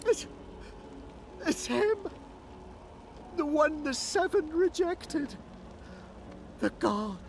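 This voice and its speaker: An actor voicing a terrified undead dwarf in a video game, begging for mercy. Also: male voice, very high-pitched, very animated, drawn-out words, vocal fry, long pauses, a little hiss or hum, full character voice. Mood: terrified pleading.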